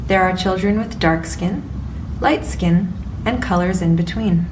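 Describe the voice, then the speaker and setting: Woman storyteller, children's read-aloud, low voice, distant mic, audible noise. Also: a little slow, echoey room.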